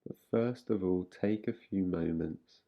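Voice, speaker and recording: A man guiding a relaxation meditation in an unhurried, soothing way, giving gentle instructions with long pauses. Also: sits low, narrow, tinny audio. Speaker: meditation guide. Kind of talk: guided relaxation meditation, yoga nidra.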